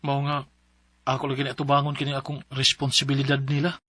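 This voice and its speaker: A man's voice: medium pitch, completely flat delivery, quick pace, very noisy, natural voice. An actor playing an earnest, dutiful man in his thirties with a Cebuano accent in a radio drama. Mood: earnest, responsible. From Philippines. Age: 30s. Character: earnest, dutiful young Cebuano man, troubled letter-writer. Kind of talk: Philippine radio drama acting with letter narration.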